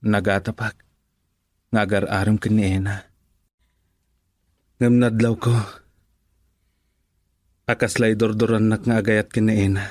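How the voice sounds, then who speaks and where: Radio drama role for a man: poor, meek young labourer in love, Ilocano accent, fairly low, close and dry. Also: little pitch movement, measured pace, pauses often, darkish tone, no echo, close to an everyday voice. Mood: brooding.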